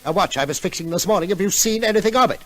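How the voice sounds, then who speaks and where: A man's voice: sits high, rapid-fire, fairly bright tone. An Australian actor playing an exasperated, sardonic father in an old-time radio comedy, blustery and mock-polite. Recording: noisy old radio recording.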